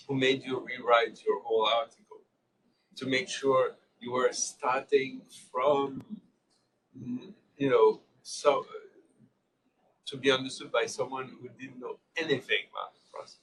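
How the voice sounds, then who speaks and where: A man with a French accent, native French speaker from Switzerland, giving a thoughtful, halting talk as guest lecturer in an informal discussion. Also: mid-range voice, flat delivery, a bit bright, slight background noise. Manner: thoughtful, halting, many restarts. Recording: distant mic.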